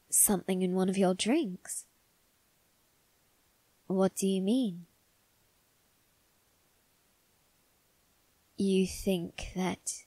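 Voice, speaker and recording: A woman voicing a doting, secretive young male vampire aristocrat in a soft, intimate ASMR roleplay, with refined, coaxing phrasing. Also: mid-range voice, dry recording, poor audio. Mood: tender, reassuring. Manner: coaxing, gentle, unhurried, refined phrasing with tag questions. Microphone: close, dry microphone.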